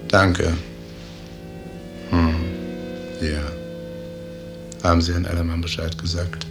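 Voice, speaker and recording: A senior medical professor in an old radio play. His voice is low and very even in pitch. He speaks in clipped, sober phrases. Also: takes it slowly, gravelly, music in the background, full character voice. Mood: grave, terse. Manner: clipped, monotone. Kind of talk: 1950s German radio drama acting. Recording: 1950s radio drama recording.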